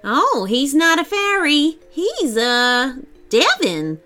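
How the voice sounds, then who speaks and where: A woman voicing a brisk, bossy little female tooth fairy who grumbles and snaps in a storybook reading. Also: sits high, wildly swooping pitch, sharp tone, faint music behind, voice pushed up, heavily acted. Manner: snappy and fussy, grumbling.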